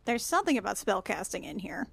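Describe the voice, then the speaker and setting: A woman's voice: medium pitch, big pitch swings, fast, vocal fry, husky, faint music behind. A woman playing a tabletop role-playing game, chatting casually with an irreverent, sweary manner.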